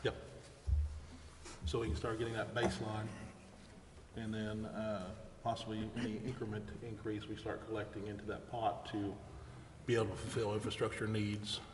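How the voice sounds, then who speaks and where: A man chairing a council meeting, speaking in a halting, informal way and reading out formal items. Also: fairly low pitch, completely flat delivery. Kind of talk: formal motion reading and unscripted meeting discussion. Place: indoor council chamber. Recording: echoey hall with background noise.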